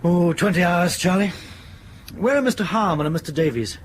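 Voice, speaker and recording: High-pitched, indignant British airline captain. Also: male voice, expressive, a little fast, slight background noise. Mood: taken aback. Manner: exasperated, blunt, sputtering. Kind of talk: radio drama acting.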